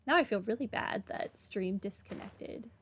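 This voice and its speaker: A woman's voice: mid-range voice, normal speed, slight background noise. A woman giving casual commentary as she plays through a video game. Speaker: gaming streamer. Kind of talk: casual playthrough commentary, reading game dialogue choices. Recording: faint game music behind.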